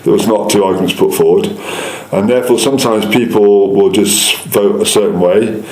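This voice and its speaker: A man's voice: fairly low pitch, steady tone, normal speed, darkish tone. An English man speaking as a local councillor in an interview, insistently explaining and repeating his points to persuade. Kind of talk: interview answers, political persuasion. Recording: echoey room.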